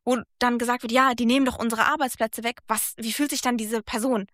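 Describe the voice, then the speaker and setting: Earnest high-voiced German woman. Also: steady tone, a little fast, fairly bright tone, no room sound, studio quality. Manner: earnest, reflective, speaks in long thoughtful runs. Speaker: guest. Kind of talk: casual conversation.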